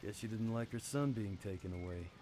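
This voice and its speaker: An actor playing a world-weary, hard-drinking detective in a noir radio play, with wry, understated delivery. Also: male voice, fairly low pitch, brisk, noisy background, lightly acted. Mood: wry, brooding. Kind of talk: noir radio drama narration.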